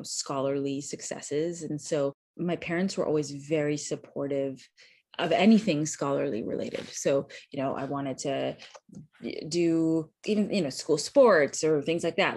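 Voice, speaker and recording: Low, husky-voiced woman. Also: measured pace, darkish tone. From Canada. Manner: chatty, many likes and restarts. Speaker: podcast guest, physician. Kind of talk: casual interview chat.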